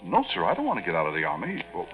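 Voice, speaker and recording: An actor playing an earnest, flustered young army sergeant in a stammering, deferential manner, in 1950s radio drama style. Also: male voice, low voice, huge pitch swings, racing, soft background music. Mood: insistent. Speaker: staff sergeant. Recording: dull, noisy 1950s radio recording.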